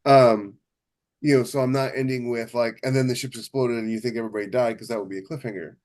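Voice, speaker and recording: A man's voice: medium pitch, steady tone, fast talker, a little mellow. A man co-hosting a writing podcast as a novelist, chatting casually and emphatically, often rephrasing himself as he goes. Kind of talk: casual chat about writing craft.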